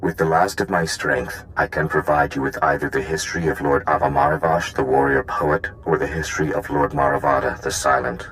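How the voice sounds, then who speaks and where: An actor playing an ancient, dying machine gatekeeper in a video game, solemn and impassive, speaking in formal, clipped reports. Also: male voice, extremely low pitch, fairly even intonation, a little fast, few pauses, a bit bright, slight background noise, low-quality recording.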